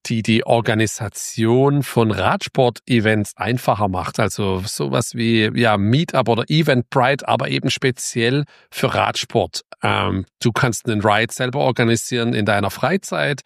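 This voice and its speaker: Chatty podcast host, gravelly voice. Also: male voice, medium pitch, no room sound, studio quality. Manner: chatty, informal, with frequent restarts. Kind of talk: casual podcast intro and interview.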